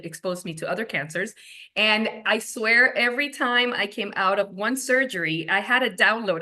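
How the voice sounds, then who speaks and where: A woman from Venezuela in her forties, a tech founder who gives lively interview answers and acts out her own past thoughts. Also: mid-range voice.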